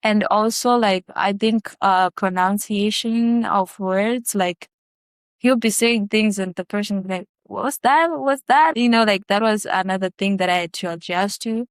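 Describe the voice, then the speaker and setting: A young woman from Zimbabwe speaks with a smooth, clear voice in a dry, close recording. She answers in a relaxed, conversational way and often pauses on fillers. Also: medium pitch, dry recording. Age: young adult. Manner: relaxed, many fillers, laughs lightly. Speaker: student guest.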